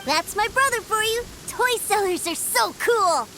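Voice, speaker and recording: A woman voicing an admiring, excitable little boy in a video game, eager and innocent. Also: very high voice, a little slow, slight background noise, full character voice. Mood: excited, admiring.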